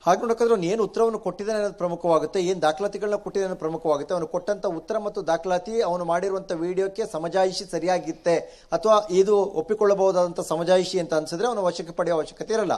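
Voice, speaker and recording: A man's voice: high voice, very fast, darkish tone. A man with a Karnataka accent giving wordy, unscripted live analysis as a news reporter.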